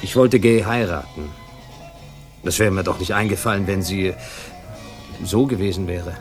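An actor playing a naive young upper-class man, vain and easily offended, in a halting 1960s German radio crime drama performance. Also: low-pitched, soft background music, natural voice. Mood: flustered, earnest. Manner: hesitant, halting, affronted.

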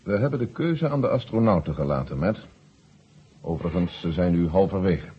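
An actor playing a calm, authoritative general in charge of a moon mission in a 1970 radio drama. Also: male voice, sits low, fairly quick, slightly rough, exaggerated voice. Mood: measured. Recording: noisy, dull old radio recording.

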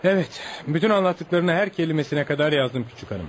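An actor playing a curious, sympathetic male journalist in a radio play, speaking in an inquisitive, animated way. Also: a little high, fairly quick, slight background noise, natural voice. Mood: reflective, kind. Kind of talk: radio theatre acting.

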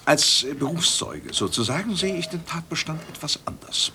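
An actor playing a smug, prejudiced busybody in a radio drama, pontificating in a pompous, theatrical way. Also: male voice, a little high, animated, normal speed, lightly acted. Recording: slightly noisy old cassette.

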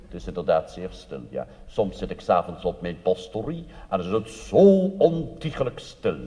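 Man playing a weary, pedantic priest, lowered voice. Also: very animated, warm tone. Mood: melancholy deadpan. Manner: measured, patient, correcting. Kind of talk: comic stage dialogue. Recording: live hall with long reverb.

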